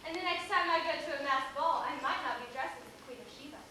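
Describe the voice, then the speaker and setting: A woman's voice: high voice, fast, bright, forceful tone. A young woman acting a coy, scheming young lady in a stage comedy, gushing and pleading with a theatrical manner. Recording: echoey theater, distant camera, faint hiss.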